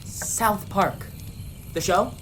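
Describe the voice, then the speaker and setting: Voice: mid-range voice, drawn-out words, a bit bright. A performer playing a blunt, exasperated young man in an amateur radio play. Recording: clearly noisy home recording.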